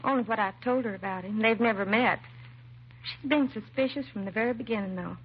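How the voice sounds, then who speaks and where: An American actress playing a timid, self-doubting young secretary in a 1950s radio drama, hesitant and apologetic. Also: female voice, fairly high pitch, brisk, close to an everyday voice. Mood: self-deprecating, nervous. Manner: hesitant, nervous, apologetic, trailing and restarting. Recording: muffled vintage radio recording, faint hiss.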